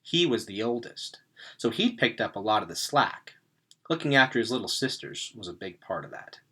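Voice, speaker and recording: A man reading a story aloud as narrator, in an expressive way with dramatic emphasis. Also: mid-range voice, moderate pace, slightly rough. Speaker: read-aloud reader.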